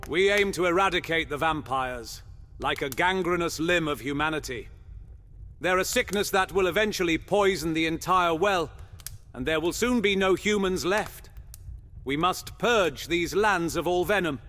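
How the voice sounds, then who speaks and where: A man's voice: a little high, normal speed, sharp tone, soft background music. A man playing a chatty, zealous medieval monster hunter in a fantasy audio roleplay, with theatrical, old-fashioned phrasing.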